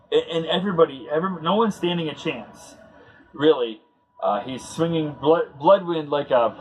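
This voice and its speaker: Man chatting casually over clear background noise. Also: medium pitch, dull audio. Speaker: host of a comic book read-along. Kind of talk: casual commentary and review.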